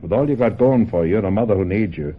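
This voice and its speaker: Deep, hard-nosed American agent. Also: male voice, expressive, quick pace, breathy edge, narrow, tinny audio. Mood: pressuring, tough. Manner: brusque, bullying, slangy. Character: hard-nosed, crude, cynical male talent agent. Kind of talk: radio drama acting.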